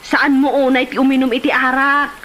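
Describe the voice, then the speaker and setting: A woman's voice: high-pitched, flat delivery, a little fast, fairly bright tone. A woman with an Ilocano accent acting a questioning, disapproving relative in a village comedy drama. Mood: reproving.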